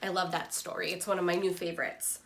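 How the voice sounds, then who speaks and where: A woman reading a picture book aloud like a teacher, deliberately, with asides to young students. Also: lowish voice, flat delivery, creaky, slight background noise.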